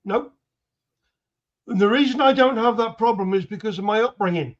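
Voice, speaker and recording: A man's voice: high-pitched, normal speed, muffled recording. An older man chatting on a panel, blunt and dry in his humor. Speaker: panel guest. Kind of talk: casual panel chat.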